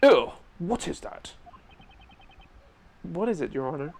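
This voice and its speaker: A woman's voice: low voice, sing-song, slow pace, darkish tone, slightly rough, full character voice. A woman voicing a formal, easily flustered older male judge in a fan-dubbed game. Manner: formal, fussy.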